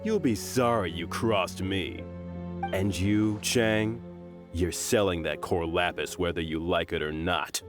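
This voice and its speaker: An actor playing a mocking, bullying male henchman in a video game, with a drawn-out sneer. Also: low voice, music in the background. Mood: mocking, threatening.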